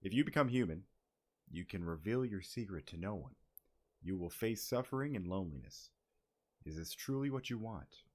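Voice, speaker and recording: Male gaming streamer with a deep voice who reads on-screen text and reacts off the cuff. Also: lively intonation, moderate pace. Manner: reads dialogue aloud, breaks off to react and swear. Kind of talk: reading game text aloud with casual reactions.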